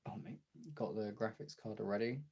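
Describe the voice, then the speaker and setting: Husky, low-voiced young man. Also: level tone, fairly quick, warm tone. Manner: informal, chatty, answering chat as he goes. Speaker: streamer and video creator. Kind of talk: casual livestream chat.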